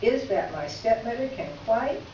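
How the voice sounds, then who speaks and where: A woman's voice: a little high, lively intonation, normal speed, mellow, natural voice. A woman playing a gracious, wry letter writer in a staged reading, speaking in formal, articulate phrases. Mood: wry, candid. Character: witty, gracious woman writing a candid letter. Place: echoey indoor room, recorded from afar. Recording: echoey room, distant mic, muffled, faint noise.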